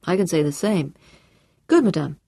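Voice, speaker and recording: A woman reads a composed man who stays light and unworried on the surface. She keeps her voice low and mellow. It sounds close and dry. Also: very animated, moderate pace, vocal fry, slightly rough, no room sound, full character voice. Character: calm, guarded American man in France.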